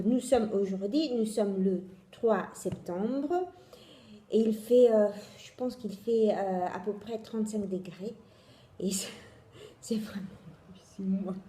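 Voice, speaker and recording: A woman with an Italian accent hosts a homemade knitting podcast, speaking slowly with a warm, mellow voice. She hesitates a lot, filling gaps and restarting her sentences. Her voice rings in a roomy, echoey space. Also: medium pitch. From Italy. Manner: hesitant, many fillers and restarts. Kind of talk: casual video podcast chat. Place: home in Italy.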